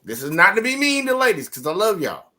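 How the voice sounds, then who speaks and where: Animated, bright-voiced man on a podcast. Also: high-pitched, fast. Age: 30s. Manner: animated, emphatic, lecturing. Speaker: podcast host. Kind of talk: casual podcast debate.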